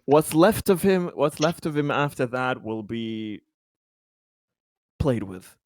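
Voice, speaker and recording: A man playing a tabletop roleplaying game, chatting in a casual, jokey, excitable way and repeating himself. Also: medium pitch, slow pace, no echo, clean studio sound.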